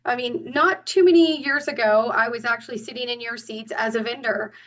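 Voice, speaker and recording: A woman in her forties gives an earnest presentation at a community meeting. Her voice sits a bit high for a woman, often pausing on fillers and restarting her sentences. She is picked up from some distance away from the mic. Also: a bit bright. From Northern California. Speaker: guest presenter.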